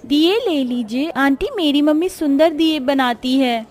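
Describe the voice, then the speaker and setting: A woman's voice: high voice, measured pace, darkish tone, voice pushed up. A woman voicing an eager small child in a cartoon family story, with a childish, singsong delivery. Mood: coaxing.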